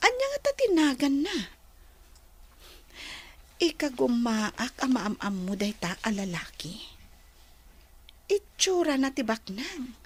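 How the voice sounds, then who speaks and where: A woman's voice: high-pitched, very animated, slow pace, long pauses, slightly rough, exaggerated voice. A woman acting a headstrong, envious daughter in a radio drama, emphatic and boastful. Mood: scheming. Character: headstrong, envious daughter dreaming of riches abroad.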